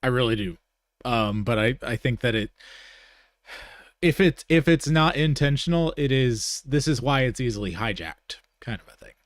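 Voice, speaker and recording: A man's voice: medium pitch, lively intonation, normal speed, no echo, studio quality. A man hosting a podcast, moving between informal, self-correcting talk and reading aloud. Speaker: podcast host. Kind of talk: casual live show talk and reading aloud.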